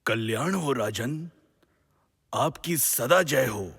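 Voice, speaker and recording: Benevolent ascetic sage, played by a man, medium pitch, very measured solemn delivery, epic radio play, long reverb. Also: expressive, vocal fry, fairly bright tone, slightly rough, full character voice. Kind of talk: formal Hindi mythological audio drama acting.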